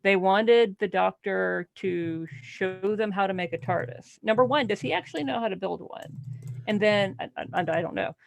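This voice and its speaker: A woman with a creaky, mid-pitched voice discusses a book casually as a podcast panelist. She reasons things through analytically and keeps asking questions. The sound is dry and close. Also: moderate pace, no echo.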